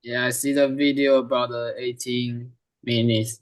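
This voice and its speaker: A man's voice: medium pitch, little pitch movement, a little slow. A man answering his coach in short, hesitant phrases of non-native English during an online class. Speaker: student. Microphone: video call.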